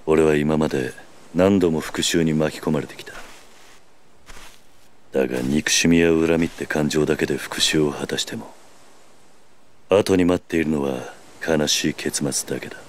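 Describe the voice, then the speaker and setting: A man's voice: rumbling low, slight background noise. An actor playing a stoic, principled tough man in a video game drama, speaking tersely and firmly. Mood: stern, calm. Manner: terse, firm, measured. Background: background music.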